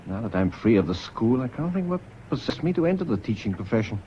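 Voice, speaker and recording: An actor playing a tense, defensive, controlled schoolmaster and widower in a British radio drama, speaking in a clipped, formal way with a British accent. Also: male voice, medium pitch, big pitch swings, fast, warm tone, highs cut off, slight background noise, lightly acted. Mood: strained, controlling.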